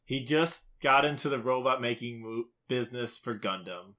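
Bright-voiced man in casual podcast chat. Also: mid-range voice, moderate pace. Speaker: podcast co-host. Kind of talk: casual chat about video games.

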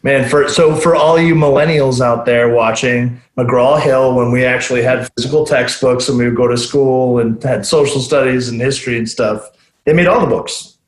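A male podcast co-host at an ordinary middle pitch, talking conversationally and stacking thoughts with you-knows. The recording has an echoey, roomy sound. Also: steady tone, normal speed. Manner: conversational, builds on points with fillers. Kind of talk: casual podcast chat. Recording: roomy echo.

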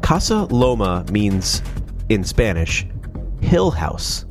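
A man's voice: on the low side, sing-song, takes it slowly, over music. A man with a stuffy, nasal voice from a sinus cold, casually reading ghost stories into a microphone with sarcastic asides. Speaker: paranormal channel host. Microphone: studio microphone.

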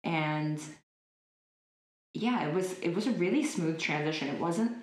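A woman's voice: deep voice, animated, a little slow, a little mellow. A woman in her twenties chatting casually as an interview guest, with lots of likes and ums. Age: 20s. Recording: roomy echo.

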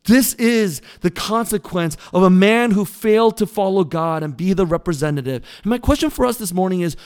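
Pastor preaching with a clear, resonant voice. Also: male voice, high voice, lively intonation, darkish tone. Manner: earnest, pleading, with emphatic pauses. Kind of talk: sermon. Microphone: mic that briefly cut out.

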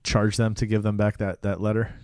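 A man's voice: on the low side, level tone, brisk, darkish tone, clear, resonant tone, dry recording, clean studio sound. A man in casual podcast banter as a co-host, informal and sweary, chiming in with short reactions. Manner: informal, profane, interjecting. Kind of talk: casual chat.

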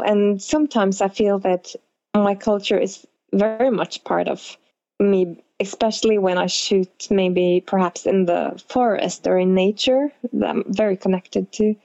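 Creaky-voiced Norwegian woman in conversation. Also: medium pitch, mellow, dry recording, muffled recording. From Norway. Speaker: interview guest, model. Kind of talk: reflective interview conversation.

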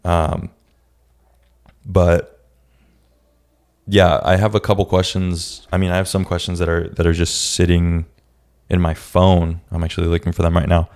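Low gravelly young man, halting. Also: monotone, darkish tone. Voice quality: raspy. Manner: reflective, halting, many restarts. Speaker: podcast host. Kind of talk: casual solo podcast talk.

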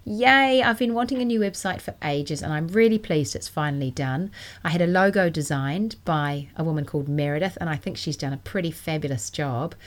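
A woman from New hosting a knitting podcast, chatting casually and enthusiastically, her voice still a little croaky from a cold. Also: sits low, brisk. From New Zealand. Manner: chatty and enthusiastic, self-deprecating. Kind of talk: casual solo podcast chat.

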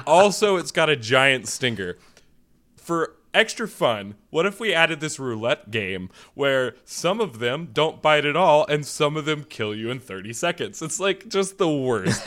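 A man co-hosting a podcast in a loose, joking way, spinning rambling comic stories. His voice sits at an ordinary middle pitch. The talk is casual chat with his brother. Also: a bit bright. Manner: jokey, rambling storyteller. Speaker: podcast co-host.

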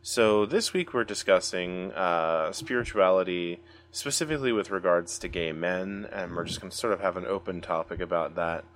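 Deep-voiced American man hosting a podcast. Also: moderate pace, hardly any pauses, fairly bright tone. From United States. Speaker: podcast host. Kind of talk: casual podcast chat.

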